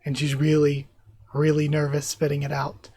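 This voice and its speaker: A YouTube reactor losing their voice, chatting excitedly in a live reaction while reading a manga aloud. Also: mid-range voice, normal speed, husky, dry recording.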